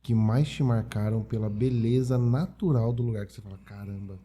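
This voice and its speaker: Podcast host: Brazilian man, deep-toned, dark and husky, agreeable casual interviewer. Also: a little fast. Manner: agreeable, brief interjections and follow-up questions.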